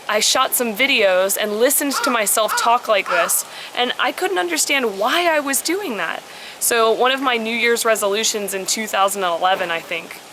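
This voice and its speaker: A woman's voice: medium pitch, sharp tone, a little hiss or hum, low-quality recording. A young American woman chatting casually and reflectively with her viewers, answering their questions. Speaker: vlogger, preschool English teacher.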